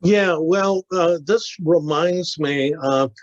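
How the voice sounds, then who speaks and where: A man in a study group tells a story full of facts, and he speaks very slowly and carefully. His voice sits a little high for a man. The sound is dry and dull lo-fi. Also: no room sound. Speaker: class member. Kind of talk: casual storytelling in discussion.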